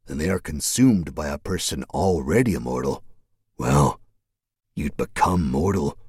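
A man voicing a sinister, manipulative male cult leader who speaks in a slow, smooth, menacing way. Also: very deep voice, darkish tone, no echo, studio quality, pitched below the performer's own voice, exaggerated voice.

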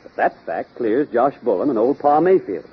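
Clever young radio sleuth: husky, lively intonation, methodical, theatrical, old muffled broadcast. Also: male voice, medium pitch, normal speed. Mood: confident reasoning. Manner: theatrical, logical, step-by-step explaining. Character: clever, methodical young male amateur detective explaining deductions. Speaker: detective lead and quiz host. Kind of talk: 1930s radio acting.

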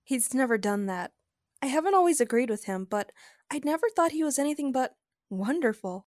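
A woman's voice: high voice, expressive, fairly quick, darkish tone, dry recording, clean studio sound. An actress playing a frank, sassy young woman spacefarer, narrating and acting in an informal, emphatic way in an audio drama. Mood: troubled.

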